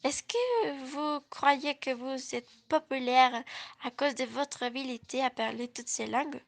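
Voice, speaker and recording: A woman hosting a scripted interview, reading her questions slowly. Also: high voice, gravelly. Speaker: host.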